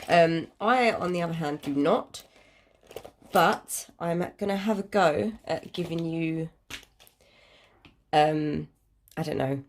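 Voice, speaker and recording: A British woman with a slightly low voice, thick with a head cold, hosts an informal craft tutorial. She talks in a hesitant, rambling and self-deprecating way, explaining each step as she goes. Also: a little mellow. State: congested with a cold. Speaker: crafting channel host.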